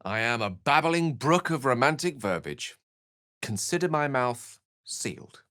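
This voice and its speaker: An actor playing an eloquent, wry, romantic scholarly wizard in a fantasy video game, speaking in an articulate, theatrical way. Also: male voice, mid-range voice, big pitch swings, a little slow, sharp tone, no echo, clean studio sound. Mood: playful, romantic.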